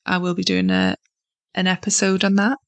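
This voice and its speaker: A woman hosting a solo podcast, talking in an earnest, encouraging way with a slightly croaky voice from a sore throat. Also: lowish voice, big pitch swings, moderate pace, dry recording. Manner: earnest and encouraging, loose with fillers. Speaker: podcast host.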